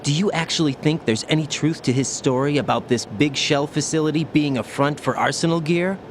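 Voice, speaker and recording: A man's voice: fairly high pitch, brisk, slight background noise, close to an everyday voice. A man playing an earnest, uneasy young special operative in a dramatic video game, always questioning. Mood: troubled, questioning.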